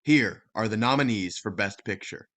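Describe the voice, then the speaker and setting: A man's voice: on the low side, moderate pace, a bit bright, natural voice. A man playing a formal awards ceremony presenter, making an announcement in a staged play reading. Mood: ceremonial.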